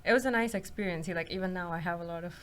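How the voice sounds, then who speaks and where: A woman's voice: lowish voice, racing, a little hiss or hum. A young woman from South India, an international student, chatting casually with many fillers and easy laughter. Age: young adult. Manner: relaxed, chatty, laughs easily. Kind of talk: casual chat.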